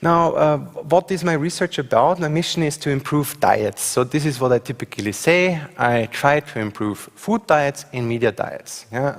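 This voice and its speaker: A man's voice: mid-range voice, creaky. A man from Austria with an Austrian accent, a university professor giving a conference talk with slides, hesitant and full of fillers, often checking in with the audience. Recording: long hall reverb.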